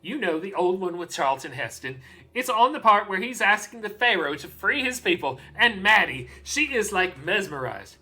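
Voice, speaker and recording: A woman playing a doting, excitable father of a small girl in a theatrical monologue, telling the story with dramatic pauses and drawn-out emphasis. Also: medium pitch, slightly rough, exaggerated voice. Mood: fond, earnest.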